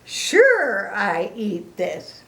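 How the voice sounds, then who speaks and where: A woman voicing a cheerful, excitable young pig from a storybook, with singsong, drawn-out emphatic words. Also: mid-range voice, full character voice. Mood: proud, excited.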